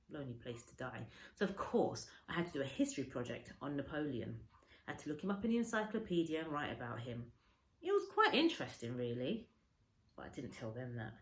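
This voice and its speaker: A woman teacher reading a children's storybook aloud with an expressive, dramatic storytelling delivery. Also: sits low, reverberant. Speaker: schoolteacher.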